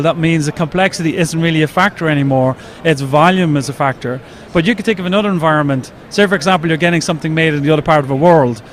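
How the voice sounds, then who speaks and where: An Irish man explaining his company's product enthusiastically in an interview at a trade show. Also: on the high side. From Ireland. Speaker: company representative.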